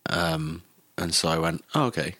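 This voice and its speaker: A man's voice: low-pitched, normal speed, sharp tone, no room sound, clean studio sound. A young man, chatting casually and informally as an interview guest, recalling his life with some swearing. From Cardiff, Wales, born in Greece. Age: young adult. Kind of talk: casual chat, personal recollection.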